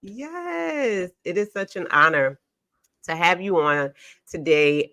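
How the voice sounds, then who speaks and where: Low-voiced podcast host woman. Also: no echo, clean studio sound. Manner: warm, affirming, chatty. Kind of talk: interview hosting.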